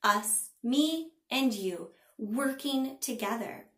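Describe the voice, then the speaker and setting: A middle-aged woman, a teacher, doing an expressive read-aloud for young children in a small room. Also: fairly high pitch, slow pace, reverberant. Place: small indoor room at a table.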